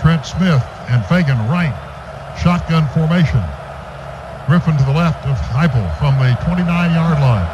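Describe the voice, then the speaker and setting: A man's voice: a little high, moderate pace. An American man calling live football play-by-play on the radio, stretching words as plays develop. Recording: dull radio broadcast, clearly noisy.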